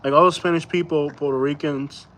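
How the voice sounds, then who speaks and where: A man's voice: a little high, fairly even intonation, brisk, fairly bright tone, rough recording. Rambling man from Florida on a night livestream. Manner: rambling, reads viewer comments aloud and answers them; loose, slangy and easygoing. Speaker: livestream host. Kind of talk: casual late-night live chat.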